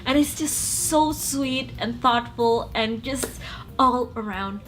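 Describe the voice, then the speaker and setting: A young Indonesian woman with a slightly high voice, talking in English with an Indonesian accent. She rambles happily and gushes like a fan while reviewing a film for her channel. A faint hiss sits under her voice. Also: slow, a bit bright, rough recording. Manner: rambling and enthusiastic, fangirling. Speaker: YouTube movie reviewer. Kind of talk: casual chatty review.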